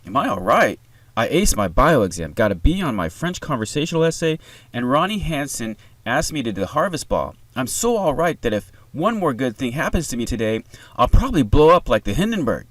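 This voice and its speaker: A man's voice: medium pitch, sing-song, fairly quick, fairly bright tone, no room sound, voice pushed up, poor audio. A man voicing a cheery, gushing teenage daughter in a screenplay reading. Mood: cheery, bubbling. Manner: gushing, upbeat.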